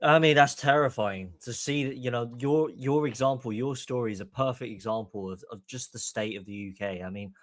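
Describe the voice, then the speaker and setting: A man's voice: medium pitch, brisk, hardly any pauses, no echo. A man from Hong Kong hosting a podcast, giving opinionated commentary in an emphatic, long-winded way. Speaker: podcast host.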